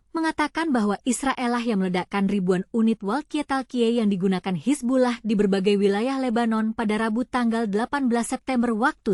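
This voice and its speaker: Woman, high voice, scripted news narration, dry close recording. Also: darkish tone, dry recording.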